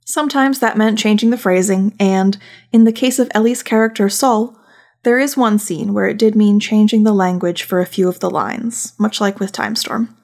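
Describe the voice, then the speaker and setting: A woman talks through her podcast in a casual, partly scripted style. Her mid-pitched voice has plenty of vocal fry, and she drops in wry asides. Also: fairly even intonation, normal speed, darkish tone. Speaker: podcast host, voice director.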